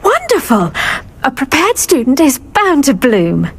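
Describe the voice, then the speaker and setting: A woman's voice: high-pitched, wildly swooping pitch, unhurried, exaggerated voice. A woman voicing a cheerful, kindly British herbology professor in a video game, effusive and encouraging in her way of talking. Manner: effusive, encouraging, plant puns. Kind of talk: video game character acting.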